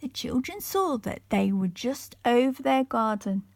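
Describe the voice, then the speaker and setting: Unhurried woman storyteller, fairly high voice. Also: lively intonation, gravelly. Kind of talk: expressive children's bedtime story narration.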